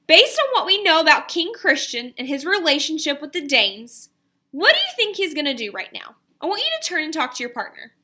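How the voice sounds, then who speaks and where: A woman, an elementary teacher reading a picture book aloud and giving pupils instructions in an expressive, deliberate way. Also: sits high.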